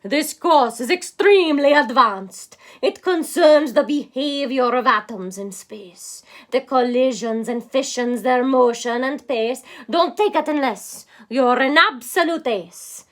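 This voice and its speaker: A woman's voice: high-pitched, a little slow, few pauses, fairly bright tone. A woman voicing a stern, imposing college professor in a storybook, speaking in an emphatic, declamatory way. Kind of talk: storybook character voice.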